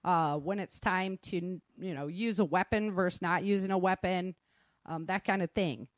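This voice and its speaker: A woman's voice: fairly low pitch, normal speed, no room sound, clean studio sound. A middle-aged woman talking informally as a self-defense instructor, acting out remarks as she tells stories. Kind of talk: casual informal talk.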